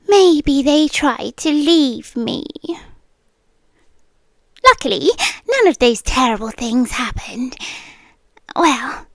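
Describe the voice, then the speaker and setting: A woman's voice: high-pitched, no room sound, studio quality, full character voice. A British woman voicing an ancient, possessive siren captor, sweetly menacing, in an intimate roleplay ASMR monologue that often drops toward a whisper. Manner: slow, coaxing, often dropping into whispers.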